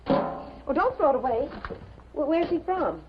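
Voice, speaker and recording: An actress playing a sharp-tongued, worried newspaper colleague, prim and insistent, in a 1950s television drama. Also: female voice, sits high, very animated, a little slow, over music. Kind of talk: 1950s American TV drama acting. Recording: muffled, noisy 1950s television soundtrack.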